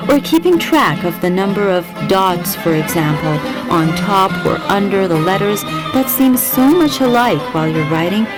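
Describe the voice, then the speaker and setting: A woman narrating a scripted clip, with plenty of lift and fall in her delivery. Loud plucked guitar plays behind her. She reads smoothly and evenly. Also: mid-range voice, very animated, fairly quick, hardly any pauses, low-quality recording. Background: guitar music.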